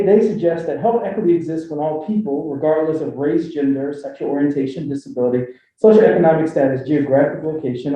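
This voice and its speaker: A man's voice: a little high, warm tone. A man from Philadelphia with a Philly accent, giving a joking, filler-heavy talk on a university panel with a handheld mic. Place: university forum room. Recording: echoey lecture room, dull sound.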